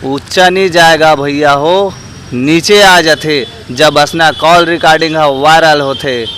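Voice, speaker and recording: A man's voice: on the high side, measured pace, fairly bright tone, slight background noise, low-quality recording. A man with a Chhattisgarhi accent presenting a vlog as host, earnestly addressing viewers directly as friends. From Chhattisgarh, India. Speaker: host and street interviewer. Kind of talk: vlog presenting with public opinion questions.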